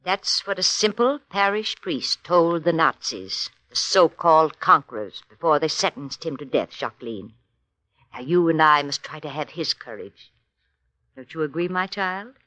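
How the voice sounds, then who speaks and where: A woman's voice: deep voice, lively intonation, moderate pace, bright, forceful tone, no echo, low-quality recording. An actress playing an earnest, motherly woman prisoner, dramatic and heartfelt in 1940s radio drama style. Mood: tender, earnest. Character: earnest, motherly imprisoned woman consoling a young cellmate.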